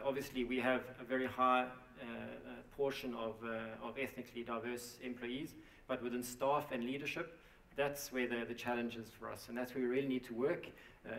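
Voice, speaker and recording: A man's voice: medium pitch, creaky, a bit bright. Casual: guy giving a company talk at a conference, lots of uhs and restarts, roomy echo. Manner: halting, many fillers and restarts. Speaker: company presenter. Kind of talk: conference presentation. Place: conference hall. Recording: echoey hall, mic at a distance.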